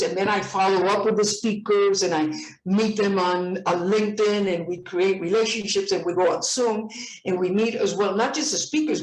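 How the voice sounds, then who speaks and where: A woman's voice: mid-range voice, echoey room, rough recording. A woman in her seventies from Puerto Rico, talking eagerly on a video call and often repeating words as she goes. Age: 70s. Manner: enthusiastic, repeats words when excited. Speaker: participant. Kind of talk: casual video-call chat.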